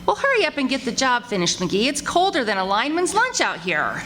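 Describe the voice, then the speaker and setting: A woman's voice: a little high, wildly swooping pitch, fairly quick, a bit bright. A woman playing a patient, wry, homey wife who teases her husband in an old-time radio comedy. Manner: homey, teasing. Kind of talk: 1940s radio comedy acting before a live audience. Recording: noisy live stage recording.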